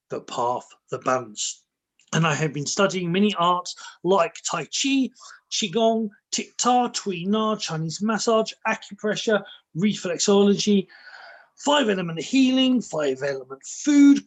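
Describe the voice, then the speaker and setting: A podcast host with a slow, drawn-out mystical way of phrasing, opening the show and greeting a guest. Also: mid-range voice. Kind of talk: scripted show intro, then casual chat.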